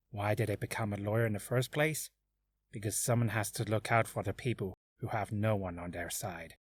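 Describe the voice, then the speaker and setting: An excitable young male defence lawyer in a courtroom game, played by a man. He is bright-voiced and very animated, halting and flustered, recorded dry. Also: medium pitch, no echo, heavily acted. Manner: halting, bursts into shouted protest. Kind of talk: video game character acting.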